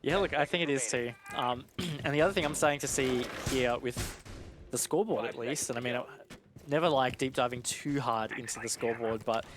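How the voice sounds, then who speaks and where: A man's voice: medium pitch, a little fast. A man giving analytical esports commentary in a conversational, explanatory way. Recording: noisy game gunfire and clicks behind.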